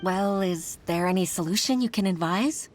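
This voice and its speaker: An actress playing an earnest, troubled young junior official in a video game, speaking formally and with deference. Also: female voice, lowish voice, moderate pace, faint music behind, natural voice. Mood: earnest, attentive.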